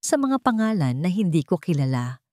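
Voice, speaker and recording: A Filipino-accented woman reads a dramatic story as its narrator. Her voice sits on the low side for a woman. The recording is dry and close, with no room sound. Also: sing-song, moderate pace, mellow, no echo.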